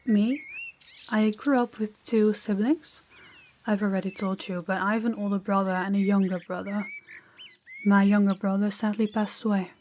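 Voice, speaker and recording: A woman performs a young princess who is proud and guarded yet softening. She speaks at a middle pitch in careful, formal phrases with a touch of awkwardness, as if alone with the listener. It is an intimate audio roleplay with light background noise and chirping birds. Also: level tone, lightly acted. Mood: sympathetic, candid. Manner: measured, formal, slightly awkward small talk.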